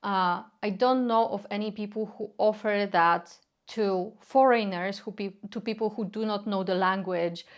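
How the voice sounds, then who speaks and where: A woman's voice: mid-range voice, fairly even intonation, normal speed. A young woman from Poland with a Polish accent, Polish her native tongue, earnestly explaining folk practice in a casual talk with many filler sounds. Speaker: folk magic educator.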